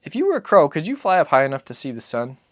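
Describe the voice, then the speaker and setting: A man's voice: a little high, wildly swooping pitch, fast, no room sound, studio quality, voice pushed up. A man voicing a curious, trusting young boy who asks childlike questions in a dramatic audiobook reading.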